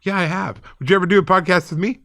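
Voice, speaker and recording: A man's voice: high-pitched, steady tone, very fast, gravelly, bright, forceful tone, dry recording, studio quality. A man co-hosting a podcast, bantering casually in a playful, teasing way. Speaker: podcast co-host.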